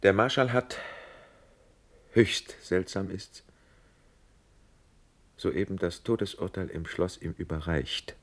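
An actor playing a worried, loyal officer friend in a classical verse radio play, speaking with grave, urgent, doubting delivery. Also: male voice, sits low, takes it slowly, pauses often, full character voice. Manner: grave, urgent, incredulous questioning.

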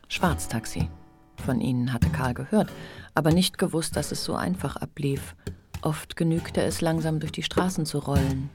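A woman reading literary narration for a German radio drama in a measured, deliberate way. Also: deep voice. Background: music.

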